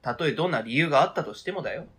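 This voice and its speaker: Man as a baffled, husky-voiced defensive adult. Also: on the high side, lively intonation, very fast, a bit bright. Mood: protesting. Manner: hesitant, informal, groping for words. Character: baffled, defensive adult, casually protesting and fumbling for words. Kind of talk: radio drama script reading.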